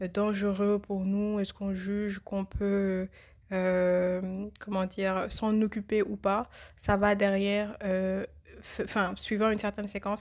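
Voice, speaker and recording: A woman with a cold hosting a reflective religious podcast, speaking thoughtfully and pausing often with fillers. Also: mid-range voice. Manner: hesitant, many filler pauses. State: has a cold. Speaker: podcast host.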